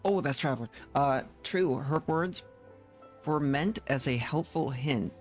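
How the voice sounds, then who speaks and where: A woman's voice: low voice, measured pace. A woman streamer reading game dialogue aloud, with casual asides of her own. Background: game music.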